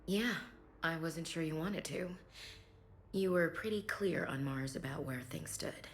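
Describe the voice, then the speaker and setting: A woman's voice: low-pitched, unhurried. An actress playing a reserved, principled woman military officer in a video game, earnest and a little hesitant, over faint ambient noise. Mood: guarded, earnest. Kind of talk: video game cinematic dialogue acting.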